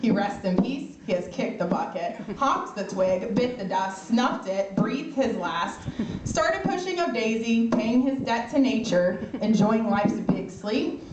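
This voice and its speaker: A woman's voice: fairly high pitch, animated, a little slow. A young woman giving an informal eulogy, joking and laughing between lines while speaking into a microphone at an outdoor pavilion. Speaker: eulogist, daughter of the deceased. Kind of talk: informal memorial speech. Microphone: microphone through loudspeaker. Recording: echoey, distant, noisy outdoor recording.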